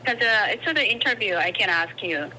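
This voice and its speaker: A woman's voice: mid-range voice, expressive, brisk, bright, forceful tone. A woman working as a telephone survey interviewer, polite and persistent, repeating her questions through a phone speaker. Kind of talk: scripted phone survey with hesitant ad-libs. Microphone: phone call picked up by a camera in a garage. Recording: noisy phone call over speakerphone.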